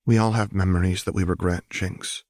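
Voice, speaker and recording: A man voicing a serene, mysterious goddess disguised as a beggar woman, speaking quietly and gently in a narrated story. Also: sits low, steady tone, normal speed, no echo, studio quality. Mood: calm, knowing.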